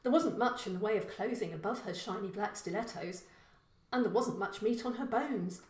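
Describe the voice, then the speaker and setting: A woman reading a short story as scripted narration, in a measured, expressive storytelling manner. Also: mid-range voice, roomy sound.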